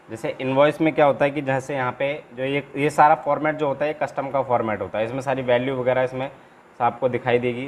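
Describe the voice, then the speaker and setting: A man's voice: mid-range voice, lo-fi sound. A man teaching informally in an instructional video, explaining paperwork step by step and often repeating himself with small check-in tags. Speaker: trainer and channel host. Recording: clear background noise, room ambience.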